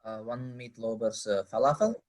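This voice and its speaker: An actor reading an eager, polite teenage shop cashier with a husky voice and halting, formal delivery. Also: male voice, mid-range voice, a little mellow, lightly acted. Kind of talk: table read of a film script.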